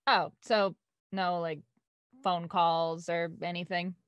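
A woman's voice: fairly low pitch, level tone, takes it slowly, a bit bright, clear, resonant tone, no room sound, dull audio. A woman playing an anxious, earnest young woman in an audio drama, hesitant yet insistent. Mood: hesitant, probing. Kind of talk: naturalistic audio drama read-through. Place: home, on a video call.

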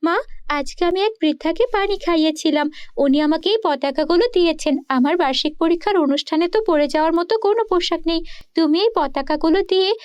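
A woman's voice: extremely high pitch, fairly quick, no room sound, muffled recording. A woman voicing an earnest, plucky young schoolgirl in a children's cartoon story, with a plaintive manner. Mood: pleading.

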